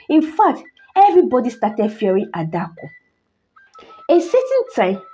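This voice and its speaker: A Nigerian woman narrating a scripted folktale, in a dramatic, deliberate way with drawn-out phrases. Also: a little high, dark tone, dull audio. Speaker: storyteller, channel host.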